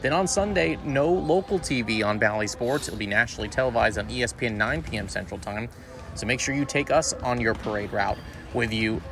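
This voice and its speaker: A man hosting a sports podcast in an upbeat, conversational style. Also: lowish voice. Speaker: podcast host, sports broadcaster. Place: sports arena before a game. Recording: clear urban ambient background noise.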